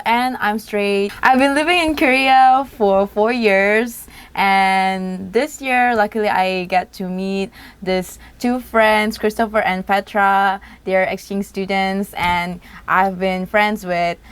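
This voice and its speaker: Slow-talking giggly woman from Indonesia. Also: mid-range voice, clear, resonant tone. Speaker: YouTuber, podcast guest. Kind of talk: casual chat.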